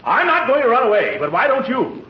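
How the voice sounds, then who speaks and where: An actor playing a pompous, flustered middle-aged uncle in a 1940s radio comedy, blustering and stammering theatrically. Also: male voice, very high-pitched, very animated, a little fast. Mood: flustered, grumbling. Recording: muffled, echoey, noisy vintage radio recording.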